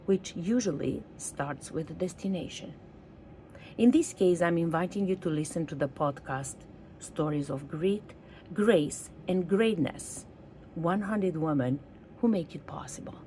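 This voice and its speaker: A woman's voice: sits low, warm tone, a little hiss or hum. A woman speaking as the host and interviewer of a podcast. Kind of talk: scripted intro and friendly interview.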